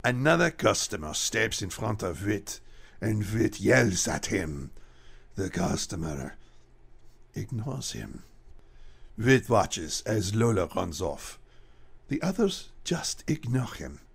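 A man narrating a radio horror drama in an ominous storytelling manner, with a gravelly, husky voice. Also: lowish voice, sing-song, takes it slowly.

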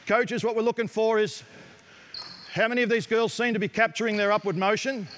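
A middle-aged man with an Australian accent, coaching players in a gym with brisk, direct instructions. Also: sits high, fairly even intonation, fairly bright tone, slight background noise. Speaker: basketball coach. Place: large indoor basketball gym. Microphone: headset mic.

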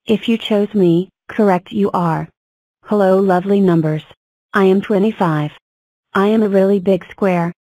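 A woman's voice: mid-range voice, fairly even intonation, normal speed, warm tone, no echo, poor audio. A woman in a mid-range voice reads simple cartoon lines evenly. The sound is dry and close. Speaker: voice of number characters. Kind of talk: fan cartoon voice-over.

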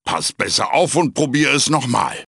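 A magical talking mask, the wise but fussy mentor of a cartoonish video game. The voice is bright and gravelly, swinging very widely in pitch. Every phrase is theatrical and emphatic, recorded close and dry. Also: male voice, sing-song, normal speed, dry recording, exaggerated voice. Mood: instructive, emphatic. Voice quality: raspy, gravelly. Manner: theatrical, emphatic, swooping delivery. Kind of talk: German video game voice acting, tutorial hints and quips.

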